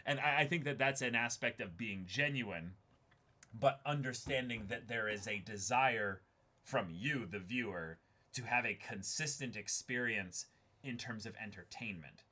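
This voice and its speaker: A man's voice: medium pitch, unhurried, pushed and bright. A man who hosts an online reaction channel, talking casually and unscripted in a reflective, explanatory way.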